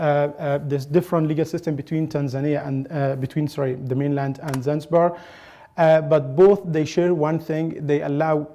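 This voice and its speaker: A man from Egypt giving an academic conference presentation, speaking in a halting way with frequent pauses and restarts. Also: on the high side, mellow.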